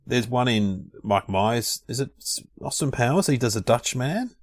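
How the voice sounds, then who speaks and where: A man's voice: mid-range voice, lively intonation, moderate pace, dry recording, studio quality. Easygoing man in his fifties. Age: 50s. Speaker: podcast co-host. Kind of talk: casual chat.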